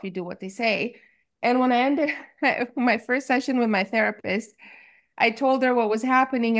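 A woman in her forties, from Romania, talking openly as a podcast guest. Her speech is chatty and loose, filled with little fillers and easy laughter, with a middle-pitched voice. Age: 40s. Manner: open, chatty, frequent likes and laughs. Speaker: guest, life coach. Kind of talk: casual interview chat.

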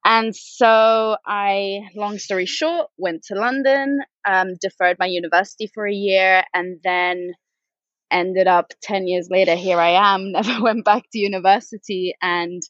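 A woman's voice: medium pitch, fairly even intonation, unhurried, a bit bright, dry recording. Chatty woman guest, dry dull sound. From Spain. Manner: chatty, rambling, with frequent ums and laughs. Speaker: podcast guest. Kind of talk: conversational interview.

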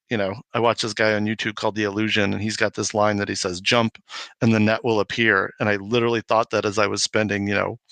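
A man's voice: mid-range voice, sharp tone, dry recording. A man, a podcast guest, talking casually and anecdotally, rambling as he retells things and acts out bits of dialogue. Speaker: podcast guest, homebrewing video maker. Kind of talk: casual anecdotal interview talk.

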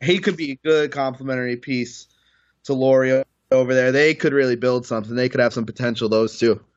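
A man giving a loose, laid-back draft take on a podcast, searching for names as he goes. His voice has a middle pitch. The audio sounds slightly dull and lo-fi. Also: fairly even intonation, brisk, dry recording. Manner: laid-back, hesitant, laughs easily. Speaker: podcast panelist. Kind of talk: casual sports draft chat. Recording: dull lo-fi recording.

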